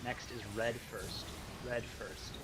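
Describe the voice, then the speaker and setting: Man calmly calling raid mechanics. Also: medium pitch, takes it slowly, very noisy. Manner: calm instructive callouts. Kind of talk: voice chat during online game. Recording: game music behind voice chat.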